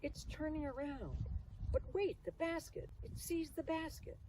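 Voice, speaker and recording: A woman plays a small, anxious girl turtle from a children's storybook, earnest and high in pitch. The voice sounds a little far from the mic, outdoors. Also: expressive, measured pace, warm tone. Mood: excited. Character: small excitable, anxious young female turtle. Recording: distant mic, faint outdoor noise.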